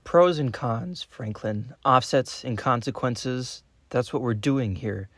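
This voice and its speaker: An actor playing a pragmatic, dismissive government boss in naturalistic meeting dialogue, glibly brushing off objections. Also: male voice, medium pitch, slow pace, fairly bright tone, dry recording. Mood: glib, impatient.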